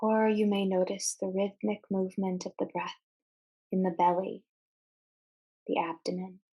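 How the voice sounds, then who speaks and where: A woman leading a guided meditation, giving measured instructions in short phrases with deliberate pauses. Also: medium pitch, level tone, husky, dull audio.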